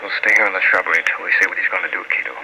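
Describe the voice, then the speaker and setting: A man's voice: sits low, steady tone, racing. A man playing a resolute, tough masked crime fighter in an old-time radio drama, terse and commanding. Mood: hushed, intent. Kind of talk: 1940s radio acting. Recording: noisy old radio recording.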